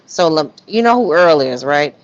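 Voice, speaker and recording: Female live stream host, casual slangy commentary, slightly low voice, muffled lo-fi sound. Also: expressive, moderate pace. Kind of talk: casual commentary on text messages. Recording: dull, lo-fi stream audio.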